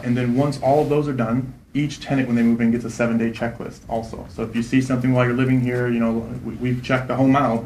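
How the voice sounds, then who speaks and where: Fast-talking man in his thirties, echoey room. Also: medium pitch, darkish tone, slight background noise. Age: 30s. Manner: fast, confident, repeats "right?". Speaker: real estate investor. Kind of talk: interview answers.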